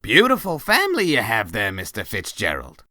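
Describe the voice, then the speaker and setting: A menacing henchwoman acted by a woman in a comic dub. Her voice is forceful and bright, with lively inflection, recorded dry and close. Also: a bass voice, normal speed, no room sound, heavily acted. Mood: veiled threat.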